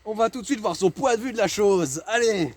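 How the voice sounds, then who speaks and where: A man's voice: high-pitched, animated, brisk, no echo. A young man clowning as a dim-witted sidekick in an amateur comedy sketch, stammering through questions. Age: teens or young adult. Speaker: comic sidekick in a school history video. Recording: homemade video with faint noise.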